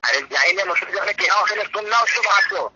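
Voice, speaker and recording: A man's voice: high voice, expressive, brisk, pushed and bright. A man arguing about religion on a recorded phone call in a combative, scolding way. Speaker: debater in a phone argument. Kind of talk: religious debate over the phone. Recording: noisy, dull phone call recording with clicks.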